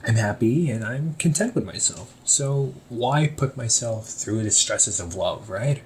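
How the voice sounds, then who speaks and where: A man's voice: mid-range voice, animated, a little slow, a little hiss or hum, low-quality recording. A young man playing an easygoing shop owner talking to his sibling in a one-sided audio roleplay, teasing and laughing often. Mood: wistful.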